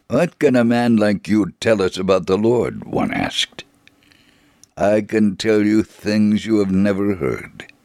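A man's voice: on the low side, vocal fry, darkish tone, no room sound, studio quality. A man reading a first-person story in a dramatic, scripted storytelling style, acting out the dialogue expressively. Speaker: narrator, reader of a radio story segment.

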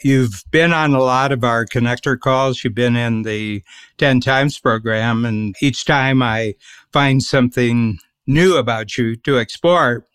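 A male interview host who asks friendly, rambling questions in a relaxed, conversational way. His voice is smooth and resonant, and it sounds dry, close and clean. He lets his thoughts wander a little before he gets to the question. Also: mid-range voice, unhurried, no echo.

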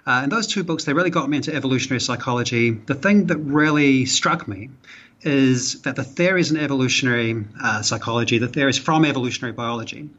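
New Zealand man explaining, muffled and husky. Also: medium pitch, fairly bright tone. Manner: thoughtful, explanatory, with frequent fillers. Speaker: guest, author and psychology professor. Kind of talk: podcast interview.

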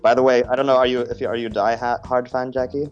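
A man's voice: mid-range voice, flat delivery, fast talker, lo-fi sound, faint music behind. A young man from Germany, a stand-up comic, talking in a joking, self-deprecating way and laughing often. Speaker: stand-up comedian. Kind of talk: stand-up set and casual interview. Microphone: video call.

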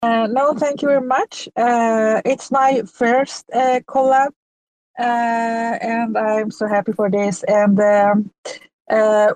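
Woman, Persian accent, slow and halting speech, many uh fillers, bright voice, dry close sound. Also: a little high, no room sound, low-quality recording. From Iran. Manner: halting, with constant "uh" fillers and restarts. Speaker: guest artist. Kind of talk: casual chat in an online audio room.

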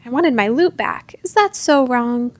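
A woman's voice: fairly high pitch, animated, a little slow, no echo. A woman voicing a shifty, half-hearted male ghost, a decayed uncle in a storybook. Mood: sheepish.

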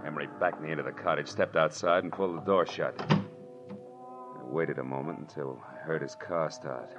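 A man's voice: very deep voice, normal speed. An American man playing a world-weary, wry private detective who narrates his case in clipped 1940s radio drama style. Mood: terse, wry. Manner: clipped, wry, hardboiled delivery. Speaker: lead actor and narrator. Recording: dull old radio drama recording, music.

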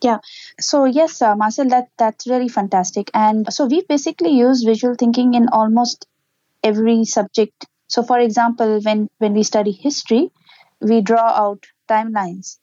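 A woman's voice: a little high, fairly even intonation, normal speed, dark tone, dry recording, muffled recording. A woman, a parent giving earnest, explanatory answers in an interview. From Pakistan. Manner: earnest, explanatory, with small laughs. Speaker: interview guest, parent and educator.

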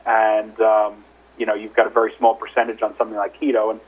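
Man on a tinny phone line, husky voice. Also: fairly low pitch, monotone, fast talker. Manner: conversational, hedging, explanatory. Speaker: company chief executive. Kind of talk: investor call question-and-answer. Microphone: telephone conference call. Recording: narrow, muffled telephone line.

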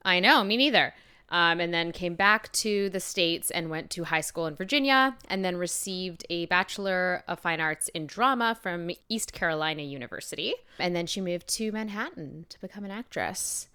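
A woman's voice: on the low side, moderate pace, sharp tone, smooth. A woman co-hosting a podcast, chatting casually and reading out background facts with giggly, jokey asides. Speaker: podcast co-host.

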